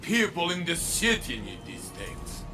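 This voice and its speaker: Slow, weary, proud city defender. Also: male voice, on the high side, huge pitch swings, over music. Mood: aggrieved. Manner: grave, deliberate, indignant. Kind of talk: dramatic video game dialogue.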